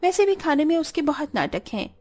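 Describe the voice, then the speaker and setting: A woman's voice: sits high, big pitch swings, a little fast, a little mellow. A woman playing a haughty, lazy rich young mother in a cartoon family story. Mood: coaxing.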